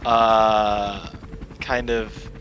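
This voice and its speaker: A man's voice: on the low side, level tone, very slow. A man playing a tabletop game, chatting casually and hesitantly as he searches for words. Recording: clear background noise behind voice.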